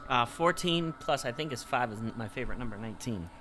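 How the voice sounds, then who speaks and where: A man's voice: mid-range voice, lively intonation, quick pace, noisy background. A man chatting casually as a player in a role-playing game. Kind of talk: casual tabletop game chat.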